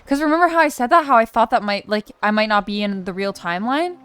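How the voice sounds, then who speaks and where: A young adult woman giving casual let's play commentary, chatty and slangy as she thinks out loud. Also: fairly high pitch, animated, fast, a little hiss or hum. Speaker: gaming streamer. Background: game music.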